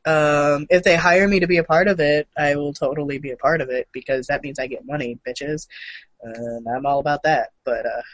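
A woman's voice: deep voice, steady tone, a little fast, dry recording. A woman co-hosting in casual, unscripted chat with an irreverent, playful, sweary manner. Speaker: co-host of a gaming and comics news show.

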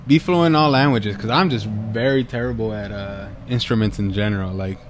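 A man's voice: fairly low pitch, fairly quick, faint music behind. A man hosting a casual podcast with his wife, joking and teasing with lots of fillers. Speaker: podcast host.

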